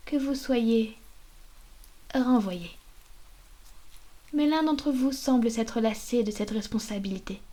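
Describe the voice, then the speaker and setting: A woman's voice: fairly high pitch, dark tone. A woman playing a haughty, menacing vampire mistress in a scripted ASMR roleplay, speaking in a poised, formal, condescending way. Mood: cold authority.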